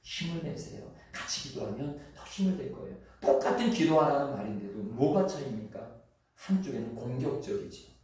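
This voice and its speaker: A man's voice: mid-range voice, sing-song, a little fast, a little mellow. A man with a Chungcheong accent preaching a sermon as pastor in a church, talking earnestly and conversationally and drawing out his sentence endings. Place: church sanctuary. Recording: echoey church hall from far off.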